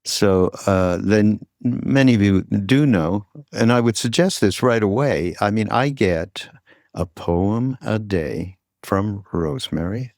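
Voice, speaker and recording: A man hosting a podcast in a casual, conversational way, with an informal, rambling and halting delivery. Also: on the low side, darkish tone, dry recording, studio quality. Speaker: podcast host.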